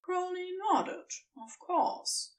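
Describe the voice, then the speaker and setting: A woman narrating fiction from a script in an expressive storytelling style. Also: extremely high pitch, drawn-out words, distant mic.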